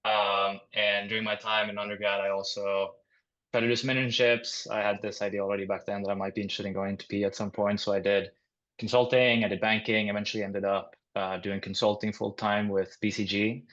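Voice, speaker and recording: Young man, from Sweden, low flat voice, dull call audio. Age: young adult. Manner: measured, hesitant with "uh". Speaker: panelist, MBA student. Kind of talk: self-introduction on a video call.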